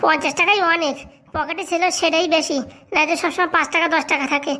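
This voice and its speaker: A woman's voice: very high voice, fairly even intonation, quick pace, bright, forceful tone. A woman voicing a cheeky, poor young man in a comic cartoon, glib, colloquial and stubbornly argumentative. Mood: stubborn, indignant.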